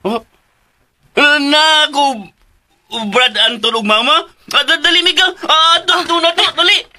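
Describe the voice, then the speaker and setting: An actor playing an innocent, simple-minded younger brother who talks in simple, childish phrases in a radio drama. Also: male voice, extremely high pitch, measured pace, sharp tone, exaggerated voice. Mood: excited.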